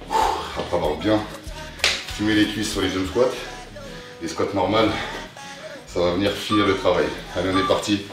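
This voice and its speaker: A young man coaching a workout while exercising, cheering on with short, motivating instructions. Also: deep voice. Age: young adult. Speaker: fitness coach. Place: living room, indoors. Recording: very echoey room, distant mic, music behind. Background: clear music.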